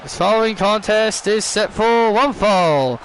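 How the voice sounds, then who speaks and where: A man's voice: sits high, lively intonation, a little hiss or hum, poor audio. A man voicing a male ring announcer who stretches out each word. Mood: formal. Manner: drawn-out announcing.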